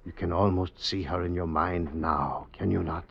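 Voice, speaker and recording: A man's voice: low voice, fairly even intonation, normal speed, creaky, slightly rough, exaggerated voice. A man playing a scheming, coaxing stonemason with folksy old-country speech in an old radio drama. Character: scheming male stonemason, sly, coaxing poisoner. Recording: muffled, noisy vintage radio recording.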